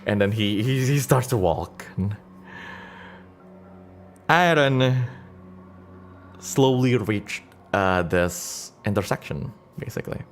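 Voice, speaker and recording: A man narrating an improvised storytelling stream as game master, halting as he searches for words. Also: on the low side, expressive, a little hiss or hum. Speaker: streamer and game master of a solo tabletop campaign.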